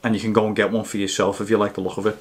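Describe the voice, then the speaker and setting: A man's voice: fairly low pitch, little pitch movement, very fast, heavy background noise. A man in his thirties or forties giving a casual, matter-of-fact gear review to camera. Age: 30s to 40s.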